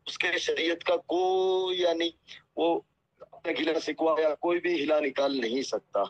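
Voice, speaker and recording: A man's voice: on the high side, steady tone, unhurried. A deferential, apologetic man speaking earnestly and piously as a cleric in a phone conversation, heard over a muffled call recording. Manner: pious, peppered with religious phrases. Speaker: Islamic cleric.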